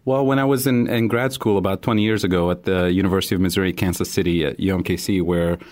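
Deep-voiced man from Tunisia explaining. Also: steady tone, a little mellow. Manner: measured, explanatory. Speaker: guest economist. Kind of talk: interview answer.

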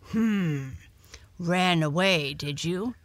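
A woman voicing a gruff but kindly male dog in a children's storybook, blunt, hearty and folksy. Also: fairly low pitch, drawn-out words, dry recording. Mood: gruff, softening.

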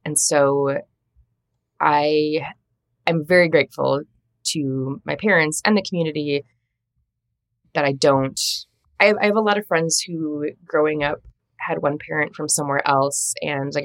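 A woman's voice: low-pitched, steady tone, moderate pace, no echo. A woman from Minnesota whose first language is Latvian, speaking as an interview guest, telling reflective stories in a conversational way. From Minnesota, United States. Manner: reflective, storytelling, warm laughs. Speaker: translator and editor, interview guest.

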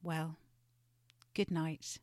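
A woman narrating a storybook expressively and gently, with chatty asides. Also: sits low, level tone, very slow, no echo. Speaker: guest storyteller.

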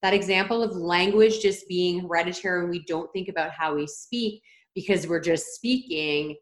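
A woman's voice: on the low side, normal speed, echoey room. A woman hosting a podcast interview, whose first language is French, asking curious, reflective questions. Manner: curious and reflective, thinks aloud. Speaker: podcast host. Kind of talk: casual interview conversation.

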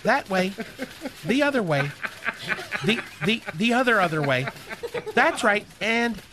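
A man's voice: sits high, big pitch swings, unhurried, crowd noise. A man voicing a flamboyant, gossipy male receptionist in a radio comedy sketch, with a lilting, swooping delivery. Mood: catty. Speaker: show's receptionist character.

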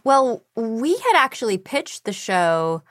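A woman's voice: a little high, very animated, slow, a bit bright, no room sound, clean studio sound. A woman voice actor, a guest in a casual interview, talking enthusiastically and swearing now and then.

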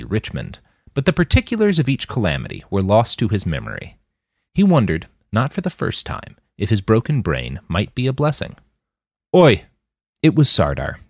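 A man's voice: on the low side, dry recording, clean studio sound. A man reading scripted fiction as an audiobook narrator.

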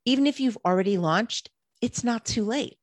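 A woman's voice: on the high side, moderate pace, no echo. A woman co-hosting a podcast who reads scripted points in an easy, upbeat way. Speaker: podcast co-host.

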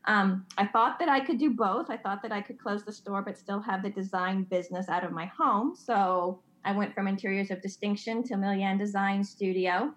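A woman's voice: mid-range voice, moderate pace, hardly any pauses. A middle-aged woman, speaking candidly as an interview guest, earnest and confessional, often restarting her sentences. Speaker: guest, designer and child advocate. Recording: slightly dull lo-fi sound.

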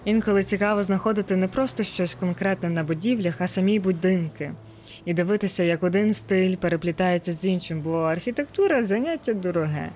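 A woman's voice: lowish voice, a little fast, a little mellow, slight background noise. A woman narrates a personal audio essay in a reflective storytelling way. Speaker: podcast narrator. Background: background music.

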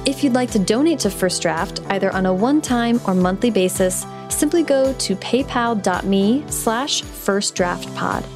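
A woman's voice: mid-range voice, expressive, over music. Upbeat chatty woman podcast host. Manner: upbeat, chatty, quick bursts of speech. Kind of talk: scripted intro then casual interview chat.

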